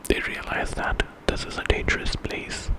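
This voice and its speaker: A man telling a bedtime story in ASMR style, in a breathy whisper and an informal, conversational way. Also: normal speed. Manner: informal retelling with quoted dialogue.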